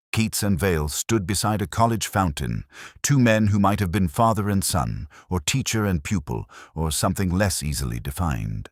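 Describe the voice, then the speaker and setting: Very deep-voiced man narrating detective fiction. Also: dry recording, clean studio sound. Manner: measured, reflective delivery of scripted prose. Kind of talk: first-person literary detective audio story narration.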